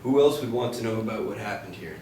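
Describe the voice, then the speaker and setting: A man's voice: lowish voice, lively intonation, quick pace, warm tone, natural voice. An actor reading a laconic, skeptical young policeman in a screenplay table read, terse and understated. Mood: knowing. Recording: echoey, distant mic, faint noise.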